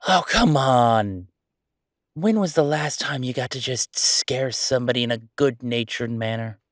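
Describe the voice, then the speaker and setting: A man plays an excitable, joking ghost who chats like an easygoing roommate. His voice sits at a medium pitch and the sound is dry, with no room to it. The delivery is casual and playful, in the style of a roleplay audio drama. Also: a little slow, no echo, lightly acted. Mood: gleeful. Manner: excitable, chatty, joking, hesitating conversationally. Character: friendly male ghost roommate, playful, mischievous, chatty jokester.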